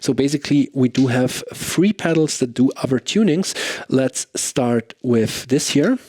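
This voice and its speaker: A man reviewing gear in a casual, off-the-cuff way, thinking aloud in a halting manner. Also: medium pitch, expressive, darkish tone, slightly rough. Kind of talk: casual off-the-cuff pedal demo chat.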